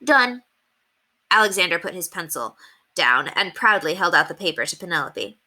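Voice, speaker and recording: A woman reading a children's novel aloud as an expressive storyteller. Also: on the low side, fairly quick. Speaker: read-aloud storyteller at an elementary school.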